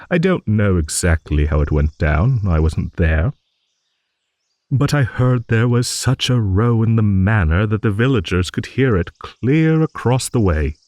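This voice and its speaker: A man's voice: lowish voice, darkish tone, no echo. A man voicing an ancient, good-natured old dragon who rambles and muses in a fantasy audio roleplay. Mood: reminiscing. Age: older. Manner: rambling, musing, with hums and chuckles.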